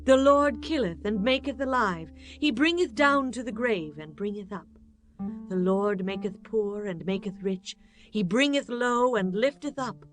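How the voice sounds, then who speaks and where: A woman's voice: mid-range voice, lively intonation, a little slow, natural voice. A woman playing a devout, earnest wife of ancient Israel in a dramatized scripture reading, speaking reverently and with measure. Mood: exultant praise. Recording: music bed behind the voice.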